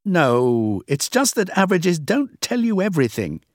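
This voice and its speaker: Man voicing explanatory storybook man, raised pitch. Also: sing-song, normal speed, dry recording, studio quality. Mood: explaining. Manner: explanatory, reassuring. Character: calm, matter-of-fact man explaining statistics. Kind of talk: audiobook character acting.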